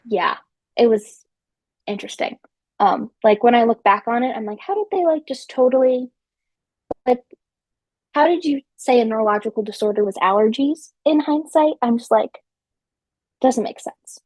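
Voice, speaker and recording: A woman's voice: on the high side, normal speed, pauses often, a little mellow, no echo. Chatty young woman, dry close sound. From central New Jersey. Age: 20s. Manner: chatty, frequent ums and likes, slips into technical terms. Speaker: podcast guest. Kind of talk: casual conversational storytelling.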